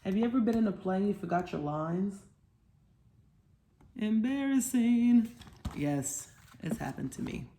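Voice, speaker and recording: A woman's voice: mid-range voice, lively intonation, measured pace, long pauses, warm tone. A woman reading a children's storybook aloud, with asides to her young listeners.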